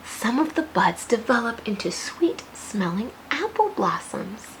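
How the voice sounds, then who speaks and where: An American woman teacher reading a picture book aloud to young children, expressive, with questions and teaching asides. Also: a little high, measured pace, background noise.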